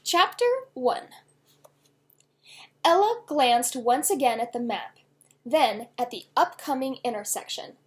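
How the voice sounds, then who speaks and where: A woman author reading her own children's novel aloud in an expressive storytelling style. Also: on the high side, slow, pauses often.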